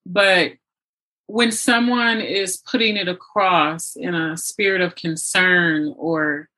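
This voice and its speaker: A woman with a fairly low, bright and forceful voice speaks as an interview host. Her delivery is conversational, earnest and encouraging. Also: steady tone, slow, low-quality recording.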